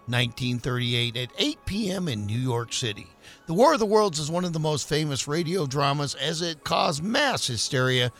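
A male radio announcer reads scripted credits over music. His voice is bright and forceful, fairly high for a man, with lively swings in pitch.

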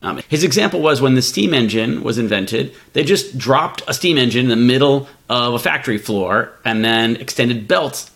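A man's voice: mid-range voice, moderate pace, vocal fry, few pauses, a bit bright. A man co-hosting a podcast, explaining tech ideas in a conversational, analytical way and thinking aloud with examples. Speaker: podcast co-host, venture capitalist. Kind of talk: casual, explanatory tech discussion.

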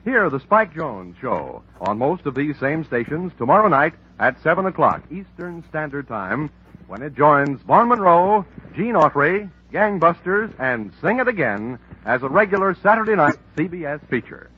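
A man's voice: on the high side, sing-song, normal speed, slightly rough. An American man reading scripted announcements as a 1940s radio network announcer. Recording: dull vintage radio recording over music.

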